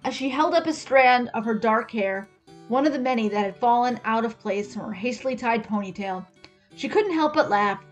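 A woman giving an expressive, scripted reading of a story as its narrator. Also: fairly high pitch, normal speed. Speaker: story reader. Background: music with guitar.

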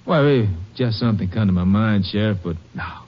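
A man's voice: fairly low pitch, brisk, close to an everyday voice. An actor playing an uneasy, defensive country man with a rural Southern accent, hesitant and easily riled, in a 1950s western radio drama. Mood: hesitant, evasive. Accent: rural Southern American. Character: uneasy, defensive male Texas farm brother. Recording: muffled old radio recording.

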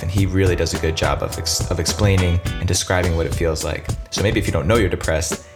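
A man hosting a podcast, speaking earnestly and enthusiastically, full of warm praise for his guest. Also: low-pitched. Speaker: podcast host. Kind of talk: podcast intro and interview.

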